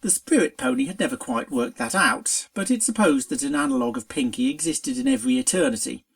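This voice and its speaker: A narrator reading a scripted story aloud in an expressive, dramatic storytelling manner. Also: mid-range voice, fast, creaky. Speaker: fan fiction reader.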